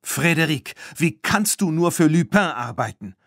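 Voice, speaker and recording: A man's voice: fairly high pitch, lively intonation, normal speed, creaky, a bit bright, no echo, studio quality, voice pushed up, full character voice. A man voicing an outspoken, indignant girl in a storybook, with an emphatic, accusing manner. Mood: angry, accusing. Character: indignant, outspoken girl who loves her horse.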